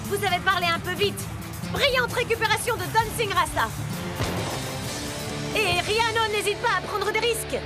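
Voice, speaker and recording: A woman playing an excitable football match commentator in a cartoon. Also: very high-pitched, normal speed, long pauses, loud music behind the voice. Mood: excited.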